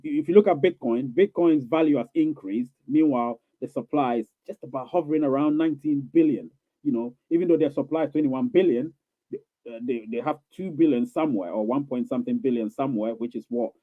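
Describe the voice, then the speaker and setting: A man's voice: medium pitch, a little fast, no room sound. A man hosting a casual live stream, answering viewer questions in a rambling, reassuring way, repeating himself with many fillers. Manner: reassuring, coaxing. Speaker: livestream host reading viewer questions. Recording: tinny phone-like dry sound.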